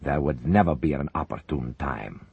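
A man voicing a ruthless older Soviet leader who talks slowly and menacingly, quick to rage, in an audiobook. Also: very deep voice, creaky, husky, no room sound, narrow, tinny audio, full character voice. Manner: slow, menacing, prone to rage.

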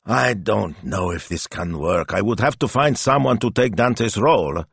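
A man's voice: lowish voice, lively intonation, a little slow, creaky, breathy edge, dry recording, full character voice. A man voicing a doubtful, easily exasperated Italian husband in an audiobook, with a grumbling, hesitant manner.

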